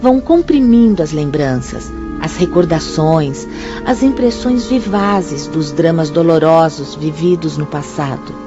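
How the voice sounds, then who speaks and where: An actress playing a composed, kindly spirit guide who explains things formally and in measured words, in a radio drama. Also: female voice, low voice, lively intonation. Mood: grave, solemn. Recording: dull, lo-fi radio drama audio. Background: soft music.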